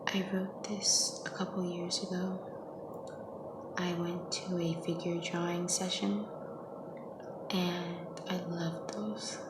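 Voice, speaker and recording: A young woman speaking very softly in a half-whispered ASMR style, gently reading poetry in an unhurried, hushed manner. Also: lowish voice. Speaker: ASMR host reading their own poems. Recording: echoey, with clear background noise.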